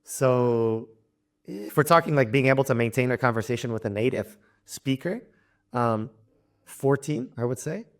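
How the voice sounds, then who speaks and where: A young man from Louisiana chatting as an interview guest, easygoing and unhurried. He halts and restarts often, trails off with fillers and laughs lightly between thoughts, and his pitch sits at an ordinary middle level. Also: expressive. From Louisiana, United States. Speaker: interview guest, traveler and polyglot. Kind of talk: casual interview conversation.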